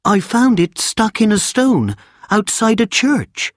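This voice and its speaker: A man voicing an earnest, anxious young boy in a storybook reading, speaking high and pleading. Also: sing-song, slow, no room sound, clean studio sound, full character voice.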